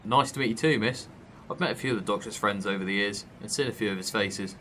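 A man's voice: on the low side, quick pace, fairly bright tone, a little hiss or hum, lightly acted. A British man playing a chummy, down-to-earth London newspaper seller from the 1980s in a fan audio drama. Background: music.

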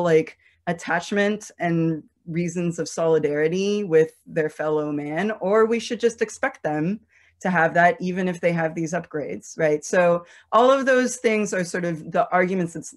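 Woman panelist, lowish voice, chatty academic talk, lots of likes. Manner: conversational, heavy use of "like" and "right?". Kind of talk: informal academic panel discussion.

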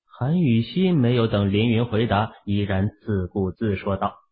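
A man's voice: lowish voice, animated, normal speed, breathy edge. A man narrating fiction from a script as an audiobook storyteller. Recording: phone-like, band-limited audio.

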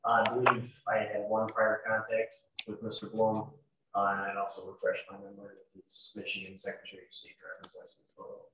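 A very echoey, distant recording of a young man testifying in court. His voice is low and stays flat, with a halting, procedural delivery of an officer on the witness stand. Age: young adult. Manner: halting, matter-of-fact, procedural. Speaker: sheriff's deputy testifying as witness. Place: courtroom, heard over video call. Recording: echoey courtroom, distant mic, faint noise.

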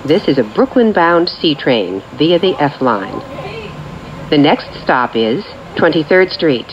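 Low-voiced woman making train announcements. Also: sing-song. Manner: measured, formulaic, clearly separated phrases. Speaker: transit announcer. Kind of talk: scripted automated train announcements. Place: recorded off speakers inside a subway train. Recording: muffled capture with train noise. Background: train ambience.

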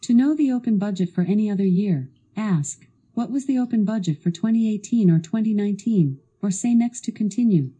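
A woman's voice assistant delivering scripted product demo narration in a polished, instructional, clearly enunciated way. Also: mid-range voice, fairly quick, few pauses, warm tone.

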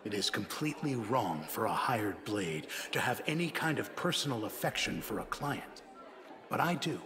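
An actor playing a world-weary, guarded aging dwarf assassin in a video game, gruff and measured, with a hidden tender side. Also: male voice, lowish voice. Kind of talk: scripted video game dialogue acting. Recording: game music behind the voice.

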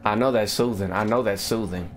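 A man's voice: fairly low pitch, a little fast, slight background noise. Slangy, profane young man gaming. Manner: loose, slangy, profane, excitable. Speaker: gaming streamer. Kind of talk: casual let's-play commentary.

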